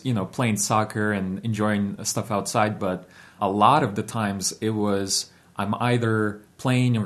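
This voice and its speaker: A man in his thirties, from Ukraine, with a fairly low voice. He talks in an interview with a steady, reflective manner and fills his pauses with ums and uhs. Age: 30s. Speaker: guest. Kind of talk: interview storytelling.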